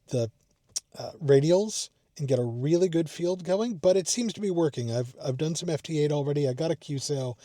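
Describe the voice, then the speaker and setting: A man with a slightly higher-pitched voice and a relaxed, mellow tone talks off the cuff to his vlog. He rambles, thinks aloud and pokes fun at himself. The sound is very dry, recorded inside a parked car. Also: no echo. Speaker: amateur radio vlogger. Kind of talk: casual unscripted vlog talk.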